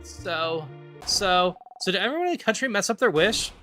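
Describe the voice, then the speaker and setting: An excitable fantasy party member, acted out by a man, exclaims in a raised, swooping voice, high-strung and easily astonished. Music plays behind. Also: normal speed, full character voice. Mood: incredulous.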